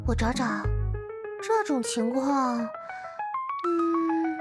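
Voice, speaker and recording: An actress playing a sweet young magical-girl nurse in a visual novel, speaking in a gentle, reassuring manner. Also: high voice, extremely slow, music in the background. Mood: soothing concern.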